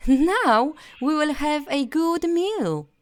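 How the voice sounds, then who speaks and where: A woman's voice: high-pitched, expressive, slow, no echo, pitched above the performer's own voice. A woman voicing an eager, hungry young boy from a storybook fairy tale.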